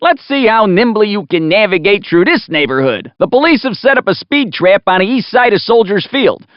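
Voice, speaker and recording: Brash American game announcer, high-pitched, very animated, folksy wisecracking showman, punchy scripted hype. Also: moderate pace, no echo. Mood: playful hype. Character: brash, wisecracking, excitable male city radio race announcer. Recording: slightly dull lo-fi game audio.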